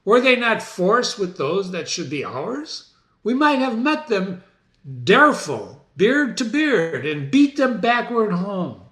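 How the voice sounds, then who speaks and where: Casting: male reader as imperious, agitated warrior tyrant for stage tragedy, forceful bright tone, wide-ranging declamation. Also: sits high, big pitch swings, slow pace, voice pushed up, heavily acted. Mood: defiant, forceful. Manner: imperious, urgent, theatrical declaiming. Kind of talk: dramatic stage reading of Shakespeare.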